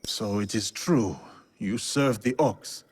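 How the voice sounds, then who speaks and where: An actor playing a stoic, honorable samurai in a video game, speaking in a terse, grave and formal manner. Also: male voice, on the low side, slow, breathy edge. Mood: guarded, firm. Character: stoic, honorable male samurai warrior, principled and guarded. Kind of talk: video game cutscene acting.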